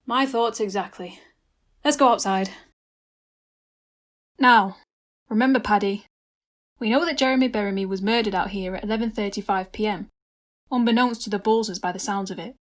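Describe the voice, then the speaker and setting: A woman playing a brisk, wry detective questioning suspects in an amateur comedy murder mystery. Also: mid-range voice, natural voice. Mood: brisk, probing.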